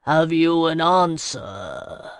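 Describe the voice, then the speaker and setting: Woman voicing an ancient, booming sea lord. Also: animated, clean tone, no echo, full character voice. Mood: solemn. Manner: slow, grave, booming.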